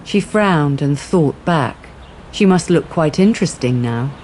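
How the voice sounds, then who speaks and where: A woman's voice: sits low, expressive, a little slow, a little mellow, slight background noise, rough recording. A woman delivering scripted narration for a radio drama. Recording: faint music underneath.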